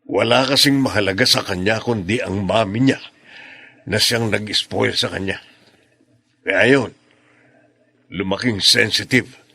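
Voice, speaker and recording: A man's voice: lowish voice, unhurried, vocal fry, pauses often, bright, forceful tone, slightly rough, no echo, heavily acted. A man playing a troubled, frustrated middle-aged father in a radio drama, serious, with a Filipino accent. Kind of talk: Tagalog radio drama acting.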